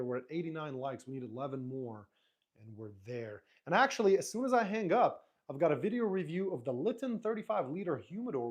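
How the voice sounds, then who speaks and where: A man whose first language is Arabic, hosting a live stream in a relaxed, rambling way, reading viewer comments aloud and answering them. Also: a little high. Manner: relaxed, rambling, frequent restarts and fillers.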